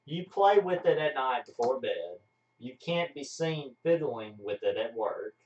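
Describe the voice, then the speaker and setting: Guy reading gags to his buddies, pitch jumps around, dull sound, far from mic. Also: very animated, a little slow, darkish tone. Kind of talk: reading riddles and bantering casually. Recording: muffled, roomy, far from the mic.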